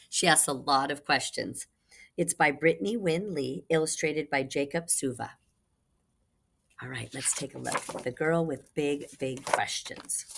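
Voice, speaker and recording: Expressive low-voiced woman reading to children. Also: gravelly, a little hiss or hum. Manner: addresses young children, dramatic emphasis on key words. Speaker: reading teacher reading a picture book aloud. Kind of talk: expressive children's read-aloud.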